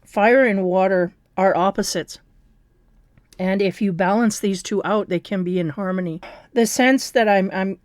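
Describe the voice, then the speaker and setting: Hoarse, raspy woman reading tarot. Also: medium pitch, darkish tone, dry recording, studio quality. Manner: rambling, with frequent fillers and restarts. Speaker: tarot card reader. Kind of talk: casual spiritual card reading.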